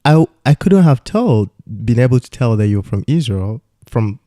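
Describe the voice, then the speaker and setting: A man's voice: medium pitch, very animated, normal speed, mellow, dry recording, studio quality. A man hosting a jazz interview podcast, talking in a rambling, easygoing way with frequent laughs and stumbles. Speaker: podcast host. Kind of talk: casual interview chat.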